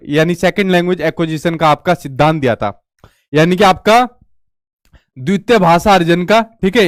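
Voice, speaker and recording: A man with a notably high voice gives an informal exam coaching lesson, repeating every idea in Hindi and then in English terms. He keeps checking in with his listeners. The sound is dry and close, with no room echo. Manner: repetitive, restates each point in Hindi and English terms; addresses viewers as brother, checks with "theek hai". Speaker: exam prep teacher.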